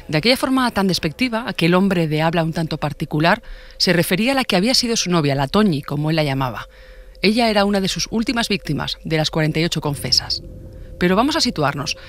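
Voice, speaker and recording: A man's voice: on the high side, fairly bright tone. A man with a Spanish accent narrating a scripted true crime podcast.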